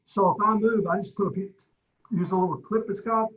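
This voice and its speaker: A man's voice: sits high, a little fast, a bit bright. A man on a conference call casually telling a practical anecdote, on dull, roomy call audio. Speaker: participant on the call.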